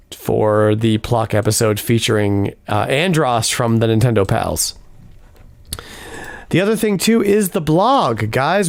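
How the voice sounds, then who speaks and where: A man hosting a casual podcast, upbeat and chatty, with a hoarse voice tonight. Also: mid-range voice, no room sound. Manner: upbeat, chatty announcer delivery. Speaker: podcast host.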